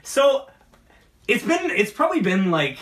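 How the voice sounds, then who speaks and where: A man hosting a casual geek talk show, rambling about movies in a halting way and often repeating his words. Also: very high-pitched, big pitch swings, a bit bright. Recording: distant from the mic.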